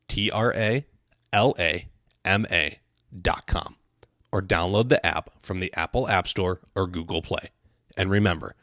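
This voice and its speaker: Low-pitched American man hosting a podcast. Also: dry recording, studio quality. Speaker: podcast host. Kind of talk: podcast interview with a read introduction.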